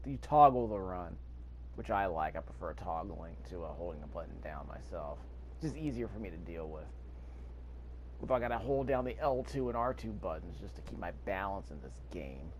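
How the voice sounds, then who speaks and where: A man with a fairly low voice hosts a gameplay video. He talks casually and rambles in an offhand way, reading prompts aloud. Faint noise sits behind his voice. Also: a little mellow, lo-fi sound. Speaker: gaming video host.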